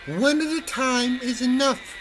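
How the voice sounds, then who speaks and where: A man voicing a small, shrewd, polite talking fish in a small squeaky storybook voice. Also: measured pace, music in the background. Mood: sly, polite.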